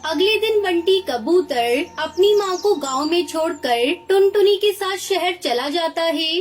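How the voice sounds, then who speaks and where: A woman's voice: very high-pitched, expressive, measured pace. A woman reading scripted storybook narration for a cartoon as the narrator.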